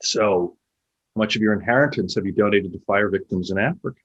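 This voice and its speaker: A man playing a probing, cynical former literary agent in a naturalistic two-man play, pointed and halting, with a husky voice. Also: fairly low pitch, highs cut off. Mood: needling. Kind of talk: naturalistic stage dialogue.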